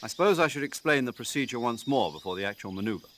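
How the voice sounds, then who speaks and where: An actor playing an authoritative, middle-aged special operations commander in a video game, giving briefings in an earnest, formal, explanatory manner. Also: male voice, mid-range voice, expressive, fast talker, background noise, lightly acted.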